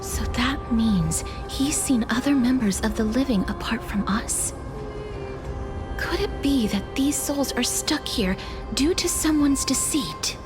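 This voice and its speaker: A woman voicing a gentle, solemn young guide of souls in a video game, with soft, formal, measured speech. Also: on the high side, lively intonation. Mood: pensive. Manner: soft, measured, formal, breathy phrase endings. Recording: background music under the voice.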